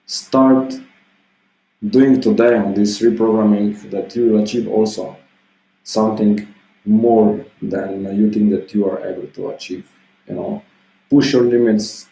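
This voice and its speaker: A man in his thirties talks in a loose, meandering way, full of little filler phrases. His voice sits low and sounds warm and relaxed. The room around him is echoey, so his words ring a little. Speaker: self-help vlogger. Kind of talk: casual motivational monologue. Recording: roomy echo.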